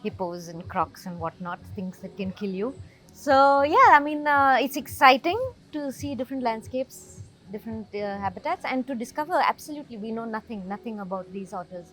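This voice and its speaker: A woman's voice: on the high side, animated, moderate pace, a bit bright, faint music behind, low-quality recording. A woman speaking informally as an expert guest, explaining things plainly and emphatically. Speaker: wildlife researcher, interview guest.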